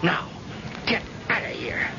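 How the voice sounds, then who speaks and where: A man's voice: mid-range voice, sing-song, slow pace, gravelly, full character voice. A man acting in an old-time radio drama as a stubborn, proud blind man, hard-boiled, bitter and challenging, in clipped colloquial phrases. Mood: angry, bitter. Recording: muffled, noisy old radio recording.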